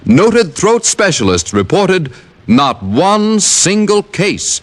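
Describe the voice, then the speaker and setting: A man's voice: high voice, sing-song, takes it slowly. An American man reading scripted mid-century radio advertising and credits, emphatic and declarative. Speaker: radio announcer.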